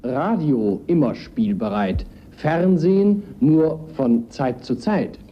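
A man announcing in a measured 1950s promotional style, heard on an old archival recording. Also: on the high side, lively intonation, a little hiss or hum. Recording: dull archival 1950s recording over music.

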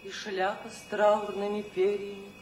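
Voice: medium pitch, completely flat delivery, a little slow, close to an everyday voice. A performer playing a solemn, dreamy reciter of verse in a radio drama, in an echoey space. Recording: echoey space, faint noise.

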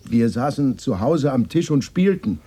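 An actor in a radio drama playing a weary, defensive war survivor who speaks in a subdued, evasive way. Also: male voice, mid-range voice, very animated, measured pace, mellow, breathy edge. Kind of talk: 1980s German radio drama dialogue.

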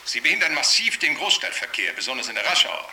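A man's voice: medium pitch, very animated, quick pace, gravelly, pushed and bright, slight background noise, exaggerated voice, rough recording. An actor playing an alarmed, self-assured male cult expert, lecturing formally and emphatically in a radio drama interview. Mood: alarmed, insistent. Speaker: TV studio expert being interviewed. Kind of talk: radio drama acting as broadcast expert commentary.

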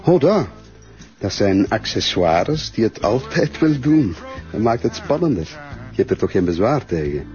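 An actor playing a sleazy, controlling photographer with a Flemish accent in a radio drama, smooth and commanding with an undertone of menace. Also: male voice, lowish voice, big pitch swings, unhurried, a little mellow, lo-fi sound, faint music behind. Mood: curt, evasive. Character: sleazy, controlling male nude photographer, coaxing yet menacing.

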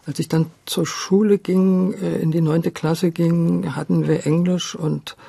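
Older man, husky creaky mellow voice. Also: on the high side, flat delivery, a little slow, no room sound. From Berlin, Germany. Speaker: interviewee, radio drama director. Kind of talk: reminiscing in a conversational interview.

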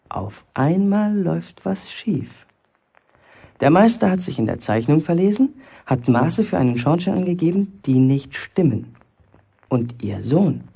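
A defiant young bricklayer's apprentice holds forth in a radio play. His voice is soft-edged and mellow, with a gravelly creak. He argues like a self-appointed prosecutor, pompous and sarcastic, piling up rhetorical questions. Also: mid-range voice, full character voice. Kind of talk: German radio play acting.

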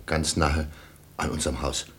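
An actor playing a family father in a radio play, wary and measured, trying to stay calm. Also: very deep voice. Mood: tense, fearful. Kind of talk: German radio play acting.

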